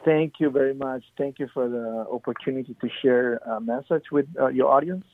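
A man talks haltingly through his life story, often restarting his sentences. His voice comes through a thin, phone-like line with a dry sound. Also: medium pitch, no echo. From Peru. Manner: halting, many fillers and restarts. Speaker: podcast guest. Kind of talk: casual testimony. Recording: tinny phone-like remote line.

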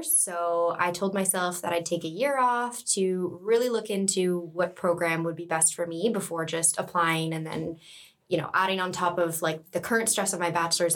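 A young woman explains her choices as a guest on a podcast. Her voice sits fairly low for a woman, and her careful answers are full of "um" and "like.". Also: a bit bright. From Canada. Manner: thoughtful, many "um" and "like" fillers. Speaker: student guest. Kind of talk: casual interview chat.

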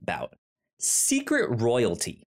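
A man hosting a podcast in casual conversation, halting and often stammering over repeated words. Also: medium pitch, wildly swooping pitch. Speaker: podcast host.

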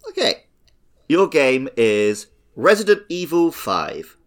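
A man's voice: a little high, big pitch swings, slow pace. A man hosting a comedy game show podcast in a casual, playfully strict and teasing way. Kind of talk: casual comic quizmastering.

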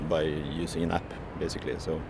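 Man, very low voice, hesitant, lots of fillers, food courier being interviewed, street noise behind. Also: level tone, a little fast. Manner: hesitant, many fillers and restarts. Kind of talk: interview answers.

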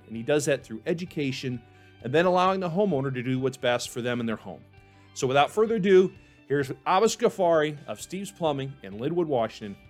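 A male podcast host who reads a scripted introduction and then interviews a guest in an upbeat, encouraging way. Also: medium pitch.

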